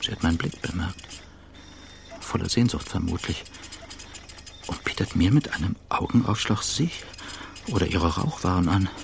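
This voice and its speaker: A jittery, obsessive man pours out his cravings in a radio play monologue. His voice is fairly low and gravelly, breathy and intense, often near a whisper. There is faint noise behind him. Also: animated, moderate pace, pauses often. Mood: yearning, obsessive.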